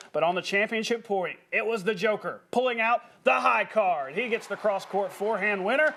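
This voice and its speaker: A man working as a sports anchor, calling highlights in a broadcast style with casual, jokey asides. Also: fairly bright tone, slight background noise.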